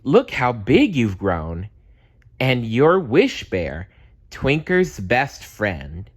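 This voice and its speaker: A man voicing a kindly, wise female magical spirit in a children's storybook, gentle and reassuring. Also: medium pitch, wildly swooping pitch, very slow, exaggerated voice. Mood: fond.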